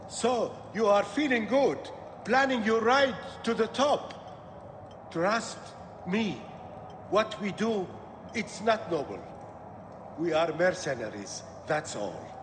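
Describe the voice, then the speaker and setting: High-voiced, slow, wary gladiator trainer. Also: male voice, big pitch swings, a bit bright, heavily acted. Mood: grave, warning. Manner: halting, somber, cautioning. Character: weary, wary male gladiator trainer, haunted mercenary. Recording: reverberant hall, noisy background.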